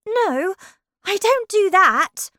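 Woman playing Alice, a high-voiced, polite little girl. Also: big pitch swings, takes it slowly, bright, forceful tone, no room sound, studio quality, exaggerated voice. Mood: earnest. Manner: polite, earnest, questioning. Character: polite, curious young girl in a storybook.

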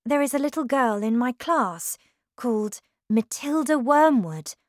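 A woman voicing a timid, kind young schoolteacher who pleads in a high, anxious voice. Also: lively intonation, unhurried, a little mellow, no echo, clean studio sound. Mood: pleading.

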